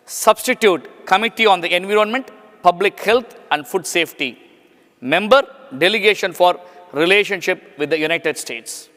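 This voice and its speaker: A man's voice: high-pitched, a bit bright. A man hosting an on-stage talk, formally reading out a guest's titles and asking questions. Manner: formal, reads titles in a list. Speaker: event chairman and host. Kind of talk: formal introduction and interview questions. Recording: reverberant hall with faint music.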